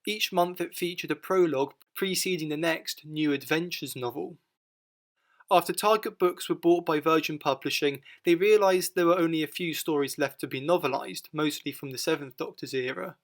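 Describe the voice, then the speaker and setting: A man's voice: fairly high pitch, normal speed. A man reading scripted narration as the narrator of a documentary.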